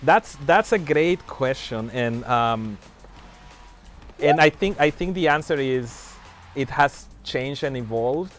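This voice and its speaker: A man chats casually on a game livestream, often restarting his sentences and trailing off. His voice sits at an everyday middle pitch, and faint music plays behind him. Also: lively intonation, slow pace, fairly bright tone. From Mexico. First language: Spanish. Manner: hesitant, many restarts and fillers. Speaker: livestream guest.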